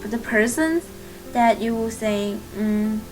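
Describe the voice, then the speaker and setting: A woman with a Chinese accent and a slightly high voice talks her viewers through a lesson. Her English halts and she reaches for words with plenty of "um"s, but she keeps reassuring and encouraging them. Clear guitar music plays behind her. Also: a little mellow, low-quality recording. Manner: halting, searching for English words, many fillers. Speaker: language teacher and channel host. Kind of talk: casual spoken lesson to camera.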